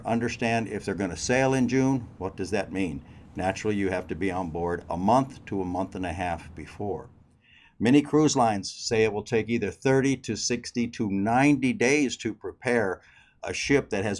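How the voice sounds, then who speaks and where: A man's voice: lowish voice, darkish tone. A man hosting a cruise news show and interviewing a guest, talking in a chatty way straight to his listeners. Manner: chatty, addresses crew members directly. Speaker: host.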